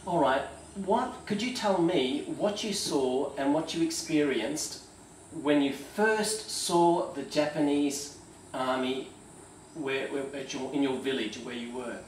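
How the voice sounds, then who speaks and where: A man's voice: on the high side, slow. A man interviewing an elder, asking tentative questions that sometimes stumble. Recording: roomy echo, distant mic, faint hum.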